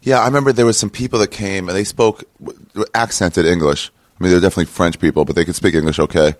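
A man's voice: deep voice, fast, dry recording, clean studio sound. An American man hosting a podcast, chatting bluntly and telling stories with animation. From United States. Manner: blunt, animated storyteller, swears. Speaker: podcast host, comedian. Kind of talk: casual chat.

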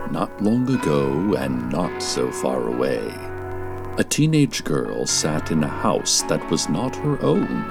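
Slow, theatrical spooky radio host. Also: male voice, low-pitched, creaky, exaggerated voice. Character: spooky radio show host, chatty, theatrical, naively unbothered.

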